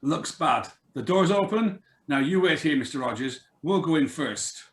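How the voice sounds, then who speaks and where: Man acting brusque higher-voiced police sergeant. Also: animated, moderate pace, natural voice. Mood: brusque, suspicious. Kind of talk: 1940s radio acting read aloud. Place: video call reading.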